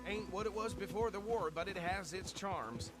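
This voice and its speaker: An actor playing an anxious, bossy and loyal sheriff's deputy in a video game, with loud music over him. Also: male voice, sits high, normal speed, close to an everyday voice. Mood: calm, chatty. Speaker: deputy lawman. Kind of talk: video game cutscene acting.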